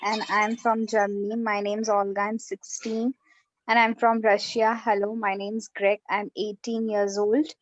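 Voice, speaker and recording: A woman's voice: medium pitch, little pitch movement, a little slow, rough recording. A student, a woman, reading a short text aloud.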